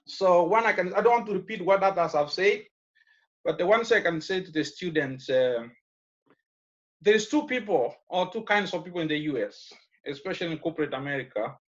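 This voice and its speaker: A man with a Kenyan accent talks from his office on a video call. His voice sits high for a man, and the audio comes through muffled. He rambles loosely, with lots of fillers. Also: expressive, a little fast. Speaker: alumnus speaking at a graduation. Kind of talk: casual advice speech on a video call.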